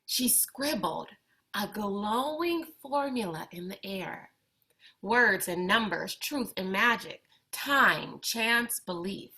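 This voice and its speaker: A woman reading a children's picture book aloud with deliberate, expressive phrasing. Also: mid-range voice. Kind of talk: read-aloud storytelling for children.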